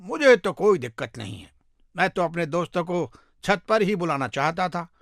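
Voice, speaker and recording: A man's voice: sits high, big pitch swings, moderate pace, no echo, clean studio sound. A man voicing a chatty neighbourhood man in a moral story, emphatic and familiar in how he addresses people. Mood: pleased, eager.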